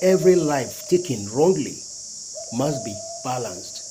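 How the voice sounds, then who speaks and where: A man voicing a calm, measured leopard spirit who speaks in portentous pronouncements in a folktale. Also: mid-range voice, background noise, natural voice. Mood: solemn, calm. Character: calm, solemn leopard that becomes a towering forest spirit.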